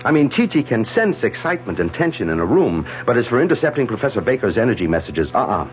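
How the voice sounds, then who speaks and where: A man's voice: mid-range voice, big pitch swings, quick pace, a little hiss or hum, natural voice. An actor playing Philip, a man who coaxes and then solemnly persuades, in 1940s radio-drama style. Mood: dismissive. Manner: urgent, coaxing, then solemn. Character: man named Philip, anxious and controlling. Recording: dull old radio broadcast with music.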